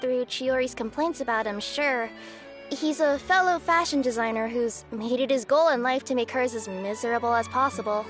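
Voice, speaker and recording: A young two-tailed cat spirit working as a courier, bubbly and easily flustered, voiced by a woman. She talks high and bright with a sheepish, apologetic lilt. Faint game music runs behind her. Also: normal speed, lightly acted. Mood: reluctant. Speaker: delivery courier character. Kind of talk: video game voice acting.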